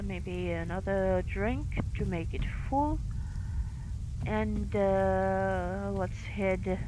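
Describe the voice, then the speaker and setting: A woman streamer with a light Finnish accent talks through her play session at an unhurried pace. She pauses often, filling the gaps with fillers as she thinks aloud. A faint hiss sits under her home recording. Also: mid-range voice. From Finland. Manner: halting, many fillers, thinking aloud while playing. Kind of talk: live game stream commentary. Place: home in France.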